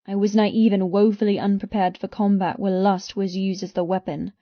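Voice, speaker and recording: A woman reading first-person romance fiction as an audiobook narrator, with intense, expressive delivery. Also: medium pitch, normal speed, no echo, dull audio.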